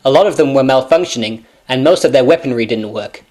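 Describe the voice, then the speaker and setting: A man's voice: medium pitch, fast talker, darkish tone, close to an everyday voice. A man playing a zany, self-mocking time-traveller in an amateur sci-fi parody, with a glib, mock-serious, wordy delivery. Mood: glib, explaining.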